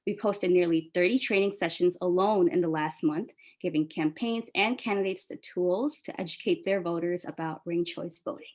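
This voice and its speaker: A woman's voice: on the low side, moderate pace, few pauses, a little mellow. A woman from New York City, a Bangla speaker, hosting a press call as an organizer in an enthusiastic, casual, friendly way. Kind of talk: upbeat press call moderation.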